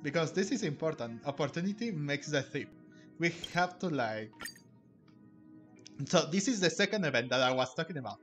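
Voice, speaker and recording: A man doing casual let's-play commentary, thinking aloud in a halting way. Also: fairly high pitch, expressive, fairly bright tone. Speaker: gaming streamer. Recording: game music behind the voice.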